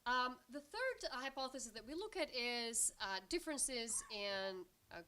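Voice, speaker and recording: A woman's voice: a little high, animated, unhurried, bright, forceful tone. A woman from Albania giving an academic conference presentation as a researcher, explaining step by step.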